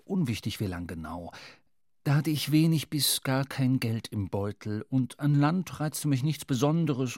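A man playing a wry, melancholy sailor who narrates his tale in a literary radio play, measured and expressive. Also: mid-range voice, dry recording, studio quality. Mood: wry, gloomy.

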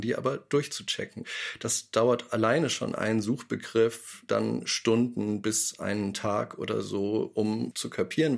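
A man's voice: fairly low pitch, creaky, breathy edge. A man giving a reflective, informal interview statement about his process as an author. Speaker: radio play author explaining his process.

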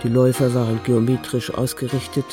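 A man's voice: mid-range voice, little pitch movement, brisk. Male radio drama narrator over music.

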